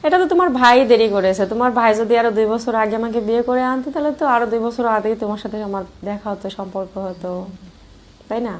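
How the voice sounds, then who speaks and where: A woman's voice: a little high, fast, natural voice. A woman with a Bangladeshi accent playing a flirtatious, lonely married sister-in-law in an amateur romantic phone-call audio drama. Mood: coy, pleased. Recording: clear background noise.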